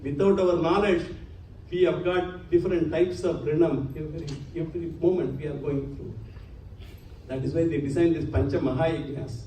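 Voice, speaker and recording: A man's voice: on the high side, fairly even intonation, normal speed, warm tone. A man giving an earnest religious lecture to a congregation, using many Sanskrit terms. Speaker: spiritual lecturer. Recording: echoey hall, distant mic, faint hum.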